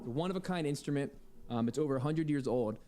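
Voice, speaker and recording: A man speaks at a medium pitch in a casual interview style. He is chatty and enthusiastic as he tells his stories. The recording carries faint noise and some clicks. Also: fast talker, mellow. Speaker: composer and violinist being interviewed. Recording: lightly noisy playback with clicks.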